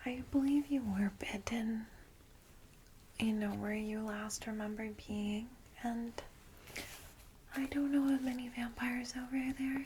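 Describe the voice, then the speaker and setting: Faint steady noise sits behind a breathy, whispered ASMR roleplay. A woman plays a caring female vampire guide, gentle and reassuring, with a fairly high, even voice that stays hushed and soft. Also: close to an everyday voice, rough recording. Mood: gently official. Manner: gentle, reassuring, hesitant asides.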